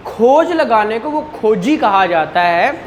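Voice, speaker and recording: A Pakistani-accented woman teaches in a lecture style with a fairly high voice. She explains conversationally, putting questions to her listeners and slipping into English terms. There is light noise behind her. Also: animated, slow pace. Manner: conversational, frequent rhetorical questions and acted-out dialogue; code-switches into English terms. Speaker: law lecturer. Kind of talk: classroom lecture with questions to students.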